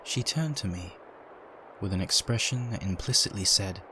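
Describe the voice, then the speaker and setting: Low-voiced man narrating a story. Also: normal speed. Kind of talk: dramatic scripted story narration. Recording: steady ambient noise behind.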